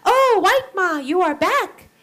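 A woman voicing a gentle, helpful little boy in a children's story, speaking softly and plaintively. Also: very high-pitched, lively intonation, slow pace, voice pushed up, full character voice. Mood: cheerful.

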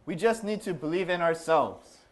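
A man's voice: fairly high pitch, animated, normal speed, close to an everyday voice. A man as a scoffing skeptical student. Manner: dismissive, scoffing.

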